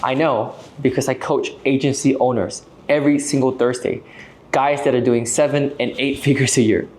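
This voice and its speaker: Young man pitching business advice. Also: fairly even intonation, normal speed, darkish tone. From Malaysia. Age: late 20s to early 30s. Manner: direct, persuasive, frequent checking tags like "right?". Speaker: video host and AI agency owner. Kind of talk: explanatory business pitch to camera.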